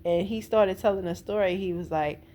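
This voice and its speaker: A woman's voice: medium pitch, fairly quick, mellow, slight background noise. An American woman talking casually and informally, retelling a story with her own opinions and slang. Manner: conversational and informal, with slang and acted-out quotes. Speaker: vlogger reviewing a stage play. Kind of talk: casual chat, recounting a plot with opinions.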